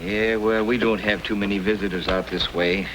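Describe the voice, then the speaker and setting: An actor playing a gentle, weary old knifesmith with a hesitant, homespun manner in an old-time radio Western. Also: male voice, lowish voice, fairly even intonation, normal speed, close to an everyday voice. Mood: genial, teasing. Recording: noisy old radio drama recording.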